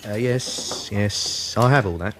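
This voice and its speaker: An actor playing a busy, casual police office colleague in a radio drama, slightly harried. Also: male voice, on the low side, slow, background noise.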